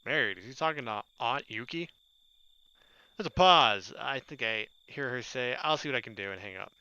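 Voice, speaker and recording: A man's voice: medium pitch, lively intonation, normal speed, sharp tone, clean tone, no room sound, muffled recording. A young man doing casual gameplay commentary and reading visual novel text aloud. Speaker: let's player. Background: game music.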